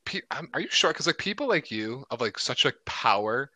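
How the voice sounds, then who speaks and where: A man's voice: mid-range voice, sing-song, brisk, bright, forceful tone, no echo. A male podcast co-host, joking and teasing his guest in a casual interview and peppering his talk with "like.".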